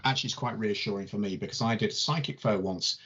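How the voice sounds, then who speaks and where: A woman's voice: extremely low pitch, fast, a bit bright, breathy edge, muffled recording. A woman from North West England with a Liverpool accent, hosting a casual chat and calling people darling and love. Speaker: host.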